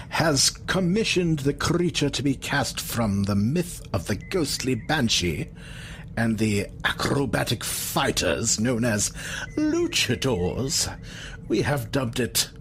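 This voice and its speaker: A man voicing a pompous, scheming alien scientist in an audio drama, speaking in a drawn-out, theatrical way. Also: medium pitch, fairly bright tone, a little hiss or hum, heavily acted. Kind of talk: audio drama villain acting.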